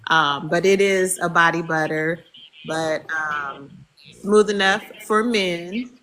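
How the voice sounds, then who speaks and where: A woman in her fifties chatting casually as a podcast guest, bubbly and quick to laugh. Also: fairly low pitch, a bit bright, low-quality recording. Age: 50s. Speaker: guest, body care business owner.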